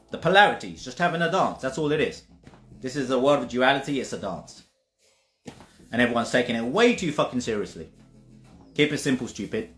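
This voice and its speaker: A man's voice: fairly low pitch, a bit bright. Man, spiritual teacher, emphatic casual monologue, repeats himself, roomy echo, faint music behind. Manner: emphatic, repetitive restarts, occasional swearing.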